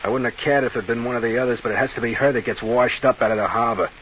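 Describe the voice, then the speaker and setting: An actor playing a worn-down, uneasy nightclub manager with a guilty conscience, talking defensively in a 1940s radio crime drama. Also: male voice, mid-range voice, fairly even intonation, rapid-fire, fairly bright tone, lightly acted, rough recording. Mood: guilty, bitter. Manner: defensive, slangy. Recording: hissy old radio broadcast.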